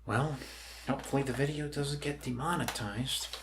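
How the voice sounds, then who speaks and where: A man doing soft, improvised ASMR roleplay as a bumbling, friendly doctor, rambling and apologetic in a scatterbrained way. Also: mid-range voice, fairly even intonation, moderate pace, background noise. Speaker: ASMR performer playing a doctor.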